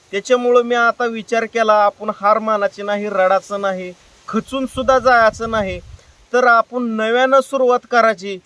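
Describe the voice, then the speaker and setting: A man's voice: high-pitched, a bit bright, no echo, far from the mic. A man making an earnest, plain-spoken personal appeal to his viewers, repeating himself for emphasis. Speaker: YouTube creator and prank caller.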